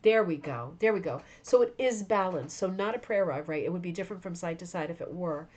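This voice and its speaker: A woman's voice: lowish voice, expressive, a little fast, darkish tone. A woman hosting a rug hooking club stream, tired and losing her voice, talking enthusiastically and rambling while chatting with viewers by name. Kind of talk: live stream show-and-tell chat.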